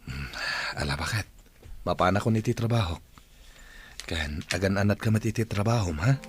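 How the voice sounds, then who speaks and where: A man's voice: on the low side, moderate pace, natural voice. A man with an Ilocano accent playing a tender, resigned, devoted husband in a radio drama. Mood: affectionate.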